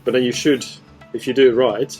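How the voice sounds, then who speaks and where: A man hosting a relaxed interview, chatting loosely with lots of "you know" and "right?". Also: medium pitch, a little fast, soft background music. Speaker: host, property mentor. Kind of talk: casual interview chat.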